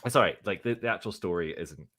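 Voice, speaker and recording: A man's voice: low-pitched, fast talker, fairly bright tone. A young man co-hosting a theatre podcast, chatting casually on a livestreamed quiz over a video call. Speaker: co-host of a musical theatre podcast. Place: indoor room, video call.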